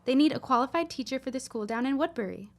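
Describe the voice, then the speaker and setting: Eager, high-voiced young woman. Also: lively intonation, quick pace. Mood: excited, earnest. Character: bright, eager young woman, helpful newspaperman's daughter. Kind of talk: period radio-style audio drama acting.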